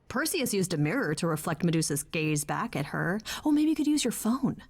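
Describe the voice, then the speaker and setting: A woman's voice: lowish voice, big pitch swings, a little fast, darkish tone, breathy edge, heavily acted. A woman voicing a loyal, nerdy young best friend in a video game, with a wry, teasing, naturalistic delivery. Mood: wry, nervous. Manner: wry, teasing, hesitant asides.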